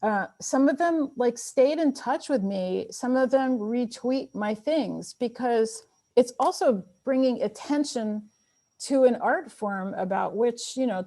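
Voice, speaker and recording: A woman's voice: on the high side, lively intonation, unhurried, dark tone. A woman author who grew up speaking Armenian, answering audience questions in a thoughtful, encouraging way with light laughter. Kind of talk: online Q&A conversation.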